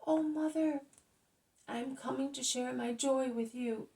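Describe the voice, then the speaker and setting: An ecstatic healed cripple cries out his joy in a storybook reading, high and loud, as a woman puts him on. The gravelly edge of the voice stays under each shout. His words tumble out in excited bursts. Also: measured pace, recorded from a distance.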